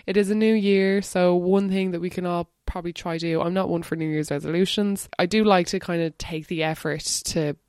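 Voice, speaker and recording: A woman's voice: fairly low pitch, a little mellow, clean tone, no echo, studio quality. A woman, hosting a podcast and chatting in a rambling, laughing way, over crackly mic static. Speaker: podcast host. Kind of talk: casual podcast intro and interview.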